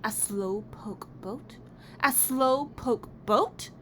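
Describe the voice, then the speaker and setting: A woman voicing an imaginative, quietly defiant little boy in a storybook, with an emphatic delivery. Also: high voice, very slow, full character voice. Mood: indignant. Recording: clearly noisy background.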